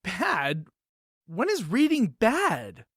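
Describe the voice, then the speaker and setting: Woman as a naive storybook puppet, wildly expressive pitch. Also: extremely slow, slightly rough, dry recording, clean studio sound, heavily acted. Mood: incredulous. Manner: innocent, questioning, incredulous. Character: naive, bewildered storybook about a boy, puppet character. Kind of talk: theatrical puppet show dialogue.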